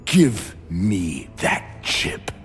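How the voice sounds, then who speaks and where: Authoritarian warship captain, video game, middle-aged, stern, clipped delivery, long reverb, light music. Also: male voice, low-pitched, wildly swooping pitch, extremely slow, vocal fry, heavily acted. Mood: commanding. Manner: clipped, commanding, condescending. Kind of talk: cinematic video game acting.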